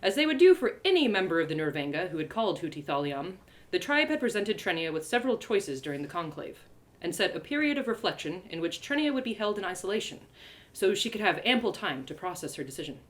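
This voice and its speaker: A woman storyteller narrates a fantasy tale in the first person. Her delivery is theatrical and expressive, with a lively pitch that rises and falls to shape each phrase. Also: quick pace. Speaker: author reading her story aloud.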